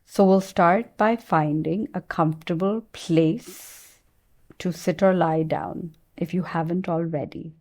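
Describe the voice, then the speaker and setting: Slow, very mellow woman guiding meditation. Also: fairly low pitch, fairly even intonation. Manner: soothing, gentle, unhurried with long pauses. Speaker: meditation guide. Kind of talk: guided meditation.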